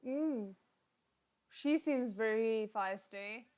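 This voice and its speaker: A woman giving casual, expressive live reaction commentary as a livestream host. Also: a little high, drawn-out words. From South Africa. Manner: expressive, exclaims and giggles while watching.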